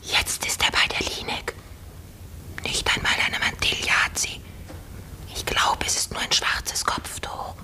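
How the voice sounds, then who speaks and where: Rapt onlooker, German radio play. Whispered, unhurried delivery with a very bright, breathy edge. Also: female voice. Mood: hushed, fascinated. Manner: hushed, unhurried commentary. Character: hushed, rapt onlooker at a church blessing.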